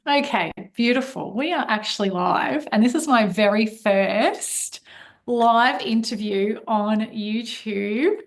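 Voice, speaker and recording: A woman hosting a live online chat, eager and upbeat, with lots of little "um"s and restarts. She talks at a medium pitch and sounds like she's on a video call. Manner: enthusiastic, warm welcoming patter with fillers. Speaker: host, money coach.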